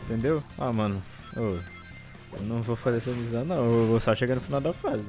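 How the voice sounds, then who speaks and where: A Brazilian man doing casual, exasperated gameplay commentary, excitable and full of swearing. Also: medium pitch, fast talker, over music. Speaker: gaming video host.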